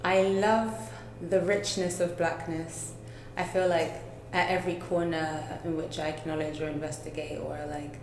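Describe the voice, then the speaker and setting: Young woman from Nigeria, low steady voice, measured and reflective, echoey room. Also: noisy background. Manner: slow, thoughtful, with pauses and fillers. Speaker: co-host. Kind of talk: reflective personal conversation.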